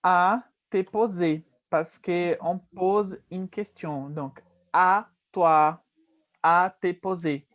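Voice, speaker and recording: A man teaching French in a relaxed conversation, patiently correcting and repeating phrases slowly. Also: sits high. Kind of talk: conversational language lesson.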